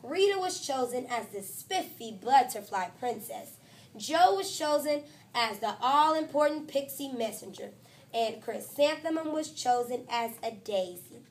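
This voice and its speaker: Teacher-type woman with a high voice reading a storybook to kids, lively and expressive. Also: measured pace. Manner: expressive, voices the characters and talks to listeners. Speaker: teacher reading a picture book aloud. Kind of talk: children's storybook read-aloud.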